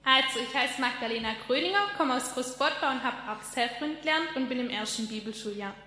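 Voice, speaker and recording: A woman's voice: sits high, fairly quick. A woman, a Bible school student, introduces herself and then acts in a playful little skit. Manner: lively, playful skit delivery. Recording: echoey hall.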